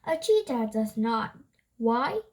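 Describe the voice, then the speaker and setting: A young-sounding reader reads a nonfiction book aloud haltingly, stumbling over words and correcting themselves. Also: mid-range voice, sing-song, darkish tone.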